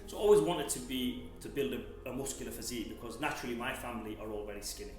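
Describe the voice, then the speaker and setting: A man in his thirties, a gym owner chatting relaxed as an interview guest, often restarting and repeating words. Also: mid-range voice, racing. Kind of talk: casual interview chat. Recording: very echoey room, faint music.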